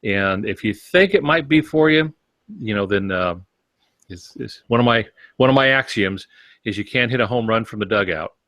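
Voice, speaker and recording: A man speaking as a podcast panelist. His voice is fairly low and recorded dry, with little room sound. He offers advice in a measured, folksy, conversational way. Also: lively intonation, a bit bright, no echo. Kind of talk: casual advisory discussion.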